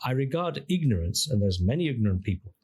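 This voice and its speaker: A middle-aged man with Greek as his first language speaks as an interview guest, expansive and fond of asking his host questions to make a point. His voice has a husky edge, and his pitch sits in a plain middle range. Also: big pitch swings, quick pace, a little mellow. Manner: expansive, explains with questions and examples. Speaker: podcast guest, engineer and app founder. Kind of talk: conversational interview.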